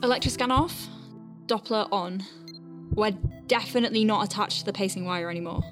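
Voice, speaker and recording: A woman's voice: mid-range voice, fairly quick, music in the background, lightly acted. An actress playing an anxious, wry woman medic piloting a tiny craft in a sci-fi audio drama, hesitant under pressure. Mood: focused.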